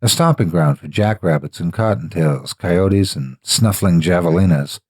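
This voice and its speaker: Male horror audiobook reader: low, gravel-edged husky voice, ominous and deliberate delivery, very dry studio sound. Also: darkish tone, dry recording. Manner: deliberate, ominous dramatic phrasing. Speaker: horror story narrator. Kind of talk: scripted dramatic horror narration.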